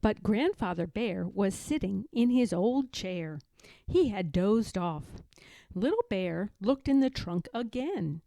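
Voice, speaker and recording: Slow, expressive woman reading a storybook. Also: mid-range voice, vocal fry, no echo. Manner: deliberate, expressive. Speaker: storybook reader. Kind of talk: children's read-aloud narration.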